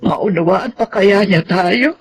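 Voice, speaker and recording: A woman's voice: medium pitch, slow pace. A plaintive, sorrowful Filipina mother. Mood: sorrowful, tearful. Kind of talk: radio drama acting. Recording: faint background noise and ambience.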